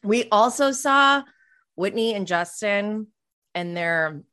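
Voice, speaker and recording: A woman's voice: medium pitch, lively intonation, slow, sharp tone, smooth. A woman of about forty co-hosting a casual reality TV recap, gossipy and prone to rambling tangents. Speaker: podcast co-host.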